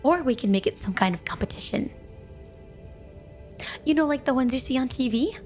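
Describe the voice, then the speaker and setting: A woman's voice: high-pitched, expressive, moderate pace. A woman voicing a sweet, obsessively devoted yandere snow woman wife in a flirty, doting ASMR roleplay spoken to the listener. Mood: doting, eager. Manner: sugary, doting, flirty, clingy. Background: music.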